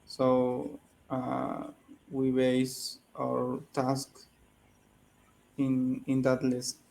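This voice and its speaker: A Mexican man with a Mexican Spanish accent, a native Spanish speaker, answering in halting English as a student on a video call. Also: mid-range voice, monotone, gravelly, darkish tone, low-quality recording. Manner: halting learner English with pauses and self-corrections. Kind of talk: casual online lesson chat.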